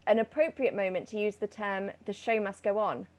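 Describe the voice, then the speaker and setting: A woman's voice: mid-range voice, steady tone, a little fast. A woman hosting a casual interview. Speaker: interview host.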